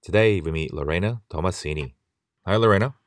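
Low-voiced guy hosting a podcast, polite and measured, dry mic. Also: no echo. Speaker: podcast host. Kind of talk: scripted podcast intro and interview.

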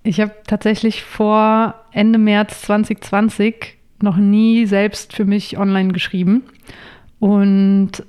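Smooth-voiced young woman explaining clearly. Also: medium pitch, level tone, slow, darkish tone. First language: not English. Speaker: podcast guest, online writer. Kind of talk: conversational interview answers.

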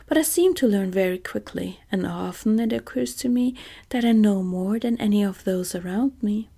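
A living wooden sawhorse, blunt and quietly sure of himself, voiced by a woman whispering. The sound is dark, husky and slightly gravelly. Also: medium pitch, normal speed. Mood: confident. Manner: soft whispered delivery. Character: awkward living wooden sawhorse, male, frank and confident.

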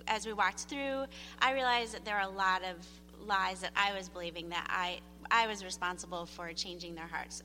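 A middle-aged woman shares a personal testimony in a bright, lowish voice. Her delivery is earnest and halting, with repeated phrases. The room rings with long reverb over faint noise. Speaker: church member giving a testimony. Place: large room, speaking to a gathering.

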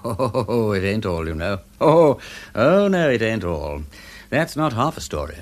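A man playing a breezy upper-class English gentleman detective in a radio drama, jaunty and drawling with period slang. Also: fairly low pitch, very animated, measured pace. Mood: intrigued, incredulous.

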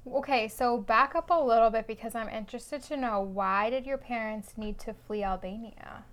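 A woman from Brazil hosts a casual podcast chat with an old friend. Her voice sits a little high, and she is bubbly, gushing and quick to exclaim. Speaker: podcast host.

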